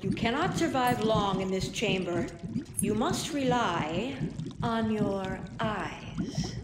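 A woman plays an otherworldly princess in a fantasy audio drama, solemn and formal. She speaks very slowly, her words trailing long reverb. Loud noise sits behind her voice. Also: medium pitch, animated. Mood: solemn, guiding. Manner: measured, formal, cryptic delivery. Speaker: voice actor.

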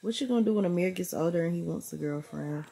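Brief: young Atlanta mom, slightly gravelly, easygoing vlog chat to a close handheld camera, faint hiss. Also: female voice, lowish voice, fast talker, warm tone. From born in New Orleans, raised in Atlanta. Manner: playful, laughing, talks to viewers and her daughter. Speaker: vlogger mom. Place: bedroom at home.

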